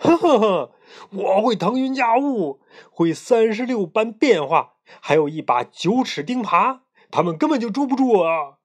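A boastful pig demon from a children's storybook, as a storyteller puts it on. The voice is loud and pushed up in pitch. It blusters and scoffs, grunting between words like an offended, sulky bully. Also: animated, a little slow. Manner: hesitant grunts, blustering and scornful.

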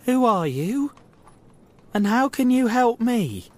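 A man voicing a weak, nervous, excitable little man in an acted storybook, sounding timid and agitated. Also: very high-pitched, slow pace, pitched above the performer's own voice, full character voice.

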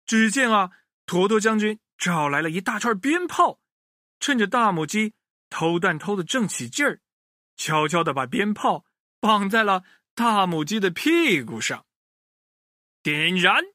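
Animated man telling children a story. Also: high-pitched, measured pace, no room sound, clean studio sound. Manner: expressive, addresses young listeners directly.

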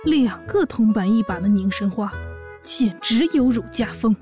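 A woman's voice: fairly high pitch, expressive, normal speed, over music, natural voice. A woman playing a brash, boastful pregnant orc warrior, a sassy and bossy older sister, in a fan audio drama. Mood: scolding, teasing. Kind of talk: audio drama acting and narration.

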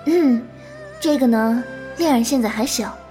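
Gentle senior swordswoman for a wuxia audio drama, female actor: lightly high, patient, doting, composed, over soft music. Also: unhurried, close to an everyday voice. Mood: fond, patient. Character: gentle, patient, doting young swordswoman, older fellow disciple.

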